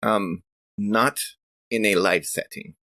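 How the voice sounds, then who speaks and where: A man plays an earnest woman scientist in a tabletop role-playing game. The voice is low and the wording is formal and careful, with halting pauses. The recording is very dry. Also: bright, forceful tone, no echo. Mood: earnest, polite.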